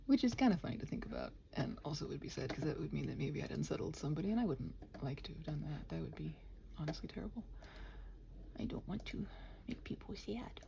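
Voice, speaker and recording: A woman rambling casually to listeners as she works, muttering and thinking aloud in a self-deprecating way, her soft voice often dropping near a whisper. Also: sits low, lively intonation, fast, vocal fry, a little hiss or hum. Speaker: audio roleplay and ASMR creator.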